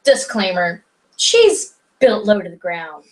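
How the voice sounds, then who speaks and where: A woman co-hosting a casual movie review chat, chatty and quick to jump in. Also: medium pitch, sing-song, slight background noise. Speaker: podcast co-host.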